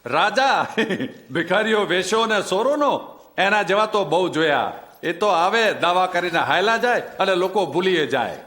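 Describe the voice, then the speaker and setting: A scornful, high-pitched official. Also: male voice, animated, a little slow, fairly bright tone. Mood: mocking. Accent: Kathiyawadi Gujarati. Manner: mocking, dismissive. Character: scornful, haughty male official opposing the prophet. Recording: music behind the voice.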